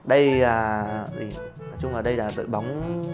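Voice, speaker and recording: A woman's voice: a bass voice, normal speed. A woman, chatting casually and playfully during a guessing game. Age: 20s. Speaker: contestant in a cartoon guessing game. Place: indoor studio, seated at a laptop. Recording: roomy echo, music behind.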